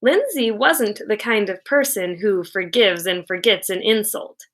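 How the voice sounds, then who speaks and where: Lady teacher reading a kids book out loud, real slow, bright highish voice, leans on the key words. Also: animated. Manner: deliberate phrasing with dramatic emphasis on key words. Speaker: teacher reading a children's novel aloud. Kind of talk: expressive read-aloud storytelling for children.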